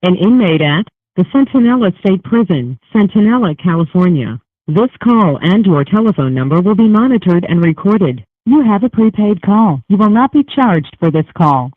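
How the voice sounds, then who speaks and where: A woman's voice: mid-range voice, animated, moderate pace, no room sound. A woman's automated phone system voice reading scripted call prompts over a tinny, narrow line.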